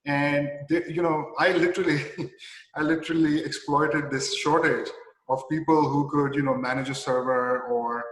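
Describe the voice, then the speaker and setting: Pakistani-accented man in his forties, guest, halting and chatty, heard in a reverberant hall. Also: on the high side, steady tone, a bit bright. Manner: halting, many restarts and "you know" fillers, easy chuckles. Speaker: company president, guest. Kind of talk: casual interview answers. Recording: echoey room with long reverb.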